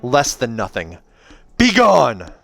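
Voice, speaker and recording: A man's voice: medium pitch, wildly swooping pitch, slow pace, vocal fry, fairly bright tone, no room sound, heavily acted. A resolute young warrior from a fantasy novel, acted out by a male reader. He speaks with defiance and command, over a faint bed of music. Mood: defiant.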